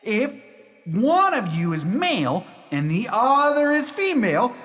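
Guy acting frazzled pleading husband in a radio play, super animated, big echo. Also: unhurried, heavily acted. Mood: persuasive. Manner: emphatic, imploring, high-strung. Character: exasperated, pleading husband reasoning with ghosts. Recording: long echoing reverb.

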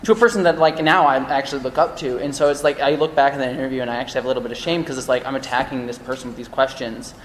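A man's voice: mid-range voice, steady tone, racing, hardly any pauses, slight background noise. Earnest rambling man in an echoey hall. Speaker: podcast panelist. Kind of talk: casual panel discussion. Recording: echoey live room, long reverb.

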